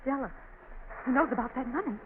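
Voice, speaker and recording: A woman's voice: high voice, quick pace, natural voice. An actress playing a fretful, frightened middle-aged mother, agitated and pleading, in 1940s radio style. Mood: panicked, pleading. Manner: agitated, pleading, breathless urgency. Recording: tinny, noisy 1940s radio recording.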